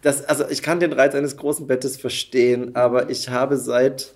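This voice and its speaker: A man's voice: medium pitch, little pitch movement, moderate pace, a little mellow. A man hosting a podcast, chatting casually as he interviews his guest. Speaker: podcast host.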